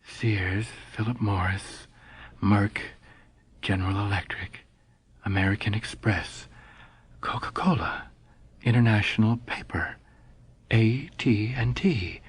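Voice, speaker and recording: A man's voice: fairly low pitch, takes it slowly, pauses often, husky, full character voice. A man murmuring as a drowsy young stockbroker woman. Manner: murmured, rote chanting. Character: sleepy young female stockbroker chanting stock names.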